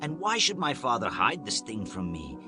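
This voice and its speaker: A man's voice: mid-range voice, huge pitch swings, normal speed, music in the background. A man playing an earnest, pleading young man of ancient Israel in a dramatized Bible reading with archaic diction. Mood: earnest, reassuring. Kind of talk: dramatized scripture acting.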